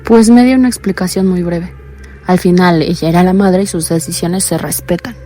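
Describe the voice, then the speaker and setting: An actress playing a blind young woman calling in to tell a frightening story, talking in a low, earnest, uneasy way. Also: steady tone, moderate pace, dark tone, lightly acted. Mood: anxious. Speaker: caller. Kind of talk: radio drama acting.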